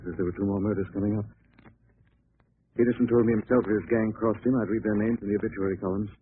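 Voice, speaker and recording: A man acting a calm, decisive gentleman sleuth in a 1940s radio drama. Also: lowish voice, fast talker, lightly acted. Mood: resolute. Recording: tinny, scratchy old radio recording.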